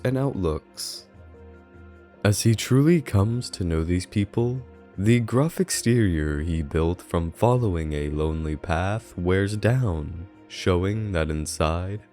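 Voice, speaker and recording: A man's voice: on the low side, darkish tone. A man reading a scripted review as narration, in an earnest and formally worded way. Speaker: video essay reviewer. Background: music under the voice.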